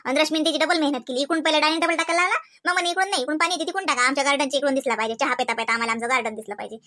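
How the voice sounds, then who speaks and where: A woman's voice: sits high, steady tone, racing, bright, forceful tone. A woman voicing fussy, playful housewives in a Marathi comedy cartoon, with a Varhadi accent and exclamatory, chatty delivery. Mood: explaining. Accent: Varhadi Marathi from Vidarbha.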